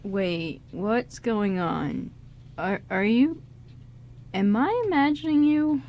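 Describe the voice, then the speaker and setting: A grieving, bewildered man in a radio drama, played by a woman. The voice is fairly high and asks things hesitantly. There is faint noise in the background of the recording. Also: animated, slow pace, lo-fi sound. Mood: bewildered, searching. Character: guilt-ridden, bewildered male friend, a grieving con man. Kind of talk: amateur radio drama script reading.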